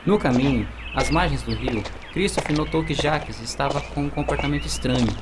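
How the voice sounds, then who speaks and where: A man's voice: mid-range voice, fairly quick, background noise. A young man with a Brazilian accent reading scripted narration for an amateur radio drama. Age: young adult. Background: music.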